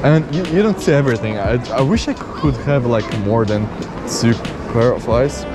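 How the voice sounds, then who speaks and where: Excited man on a photo walk. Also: mid-range voice, normal speed, over loud music. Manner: enthusiastic, exclaiming, directing a model. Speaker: photographer vlogger. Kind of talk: casual POV photo walk chatter. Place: shopping arcade then city streets at night.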